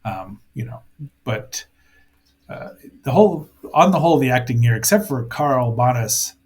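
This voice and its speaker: A man's voice: medium pitch, expressive, normal speed, darkish tone. A man hosting a casual review show, rambling and thinking aloud with playful asides as he comments on a cartoon.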